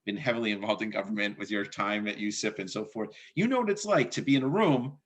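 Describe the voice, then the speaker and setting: A man's voice: lowish voice, fast talker, breathy edge. A man hosting a political discussion over a video call, talking casually and often repeating words as he thinks. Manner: conversational, repeats words, laughs easily. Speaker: host.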